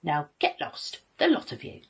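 A woman voicing a rude, lazy young male pig in a children's story, cheeky and defiant, shouting. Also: normal speed, lightly acted. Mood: dismissive.

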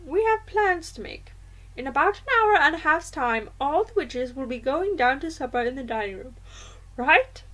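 A woman voicing a brisk, determined elderly grandmother who speaks emphatically in a storybook reading. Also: high-pitched, expressive, a bit bright, voice pushed up, rough recording. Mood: conspiratorial, fond. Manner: emphatic, forthright.

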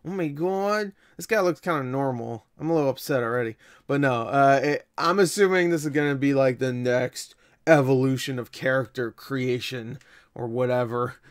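A man's voice: mid-range voice, moderate pace, clean tone. A young man giving casual reaction commentary, exclaiming, swearing and tossing in joking asides. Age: young adult. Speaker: reaction video host. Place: small indoor room at home.

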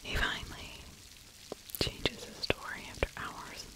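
A woman's voice: a little slow, poor audio. Whispering middle-aged woman, true crime ASMR. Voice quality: breathy, unvoiced whisper. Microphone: close microphone with furry windscreen.

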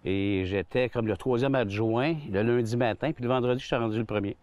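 A man's voice: mid-range voice, fairly even intonation, brisk, darkish tone. A man in his sixties with a Québécois French accent, a golf club manager chatting in an outdoor interview, rambling with many restarts and fillers. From Quebec. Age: 60s. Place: outdoors on a golf course under trees.

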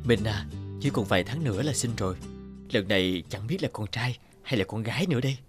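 A stern, tradition-bound village chief from a cartoon , acted by a man. His manner is commanding, and soft music sits behind his voice. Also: lowish voice, lively intonation, fairly quick, natural voice. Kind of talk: cartoon dubbing.

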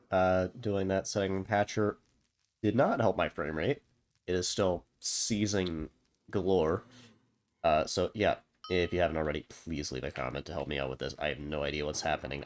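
A man's voice: low voice, a little hiss or hum. A man with a cold, sounding congested, giving rambling, wry and self-deprecating commentary over his own gameplay as a channel host.

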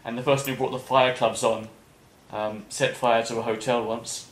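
A man's voice: mid-range voice, little pitch movement, normal speed. A middle-aged man hosting a podcast, chatting casually about working as an entertainer. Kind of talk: casual interview chat. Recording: roomy, echoing room.

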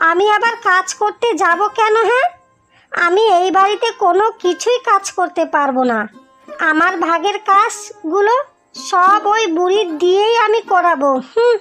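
A woman's voice: very high-pitched, normal speed, a bit bright, soft background music. A woman voicing a selfish, scheming young wife in a cartoon, talking in a sneering, bossy way.

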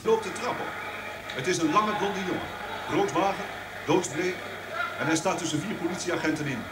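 An actor in a Dutch radio play playing an agitated live reporter, describing a scene in urgent, halting phrases. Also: male voice, high voice, lightly acted. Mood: tense, hushed. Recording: distant, echoey, noisy location-report sound.

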